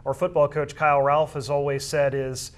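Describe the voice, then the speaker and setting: A man discussing high school sports in a conversational way, explaining at length with measured, slightly halting phrasing. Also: flat delivery.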